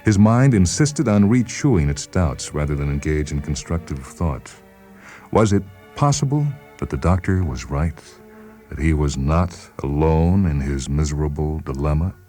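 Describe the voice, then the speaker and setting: A man narrates literary fiction in an extremely deep, mellow voice. His reading is measured and expressive, dramatic in style, with faint music behind it. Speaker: narrator, short story reader.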